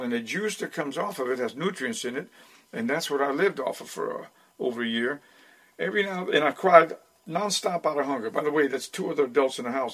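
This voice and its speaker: A man in his eighties, Dutch by first language and from the Netherlands, recounting his life as a podcast guest in an unhurried way with many restarts. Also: mid-range voice, a bit bright. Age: 80s. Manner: unhurried storyteller with frequent restarts. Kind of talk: storytelling interview.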